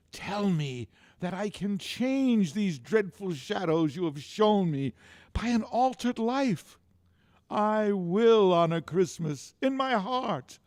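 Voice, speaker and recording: An actor playing an old miser in a theatrical, exclamatory radio drama style, full of impassioned feeling. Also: male voice, sits high, a little mellow, heavily acted. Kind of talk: golden age radio drama acting.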